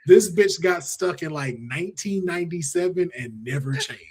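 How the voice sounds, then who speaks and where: A man with a slightly high voice hosts a casual podcast chat. He talks in an animated, slangy way and swears freely. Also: moderate pace, husky. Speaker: podcast host.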